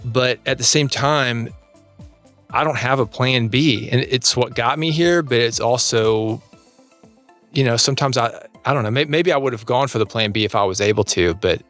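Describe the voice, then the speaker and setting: A man's voice: medium pitch, normal speed, fairly bright tone, soft background music. A man in his forties speaking casually and candidly as an interview guest, often questioning himself. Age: 40s. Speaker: podcast guest, entrepreneur.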